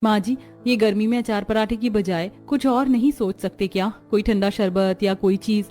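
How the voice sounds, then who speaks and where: A voice actress playing a young new bride who grumbles and whines about housework in an animated story. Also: female voice, medium pitch, normal speed, soft background music, lightly acted. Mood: grumbling. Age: young adult. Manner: whiny, grumbling. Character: young lazy new bride, whiny and complaining.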